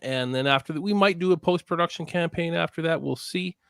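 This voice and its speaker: Casual man from Canada, a filmmaker guest, gravelly voice, easygoing with a quick laugh and some swearing, dry close sound. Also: on the high side, dry recording. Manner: relaxed, laughs often, swears freely. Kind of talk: casual podcast chat.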